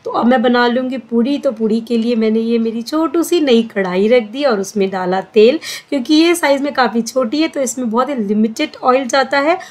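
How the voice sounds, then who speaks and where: A woman's voice: fairly high pitch, moderate pace, hardly any pauses, darkish tone. A middle-aged woman talking through a home recipe step by step in a chatty, casual way, with frequent filler sounds. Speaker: cooking vlogger. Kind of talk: home cooking voice-over. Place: home kitchen.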